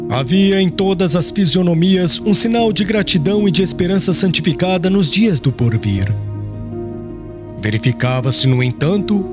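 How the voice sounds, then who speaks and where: High-pitched, expressive male audiobook narrator. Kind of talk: scripted literary narration.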